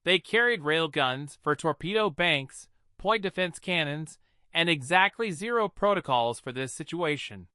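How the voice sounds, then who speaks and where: A man narrating a scripted science fiction story in a dramatic, deliberate manner, in short measured phrases. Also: fairly high pitch, animated, bright, forceful tone, clear, resonant tone, dry recording, clean studio sound.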